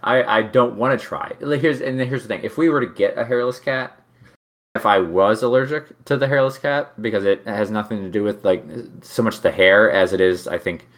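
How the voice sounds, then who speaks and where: A man's voice: fairly low pitch, moderate pace. A man in his thirties chatting casually as a co-host, rambling and restarting his sentences with a joking tone. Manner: rambling, self-interrupting, joking. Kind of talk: casual chat.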